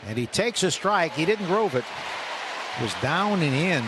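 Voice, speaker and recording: A man doing radio play-by-play of a baseball game, rising sharply in pitch when calling live action. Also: lively intonation, moderate pace, over a noisy crowd. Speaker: play-by-play announcer. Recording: radio broadcast with loud ballpark noise.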